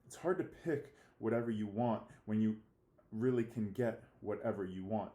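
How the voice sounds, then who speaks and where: A man speaking as a fifth-grade teacher giving a read-aloud lesson, halting and theatrically mock-serious in his delivery. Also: lowish voice, mellow. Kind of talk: read-aloud lesson for children, reading a novel with commentary. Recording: echoey room.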